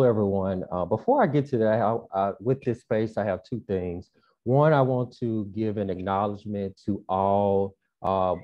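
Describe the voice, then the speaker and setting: A man's voice: fairly low pitch, muffled recording. Earnest young Southern man on a video call. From Mississippi, US South. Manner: earnest, frequent "uh" and "um" fillers. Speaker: featured panel speaker. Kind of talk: video call panel talk. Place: small room indoors. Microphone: earbuds on a video call.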